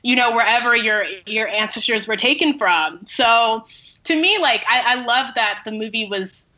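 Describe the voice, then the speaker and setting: A woman with a raised, forward and bright voice talks through her ideas in a casual discussion. She is reflective and conversational, leaning on little filler phrases like "you know". The sound is slightly dull and lo-fi. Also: fairly high pitch, normal speed. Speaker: podcast panelist.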